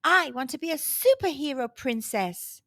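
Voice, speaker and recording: A woman's voice: high voice, animated, moderate pace, pushed and bright, no echo, full character voice. A woman voicing a young, defiant, emphatic princess girl who is eager to be a hero in a children's storybook. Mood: defiant pleading.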